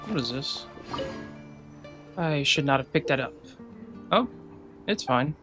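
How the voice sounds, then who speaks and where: A woman's voice: low-pitched, animated, slow pace. A woman giving casual gaming commentary, musing aloud in short reactions as she plays. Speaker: gaming streamer. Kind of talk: casual game playthrough commentary. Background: game music.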